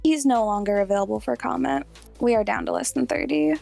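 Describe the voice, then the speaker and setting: A woman's voice: a little high, a little fast, gravelly, a little mellow, soft background music. A woman playing a curt, businesslike radio producer in audio drama dialogue, matter-of-fact.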